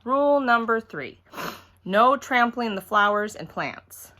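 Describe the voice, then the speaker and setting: A woman's voice: a little high, lively intonation, takes it slowly, pushed and bright, voice pushed up, exaggerated voice. A woman voicing a stern, exasperated, rule-giving father in a children's storybook. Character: stern, exasperated father running an egg hunt.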